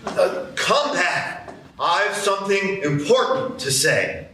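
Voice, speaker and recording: A man's voice: high-pitched, very animated, pushed and bright, full character voice. A man as a lazy caterpillar, drawling, roomy hall sound from far off, faint hiss. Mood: drowsy. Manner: slow drawl, hesitant fillers. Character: lazy laid-back caterpillar, drawling and vague. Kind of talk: live radio play acting.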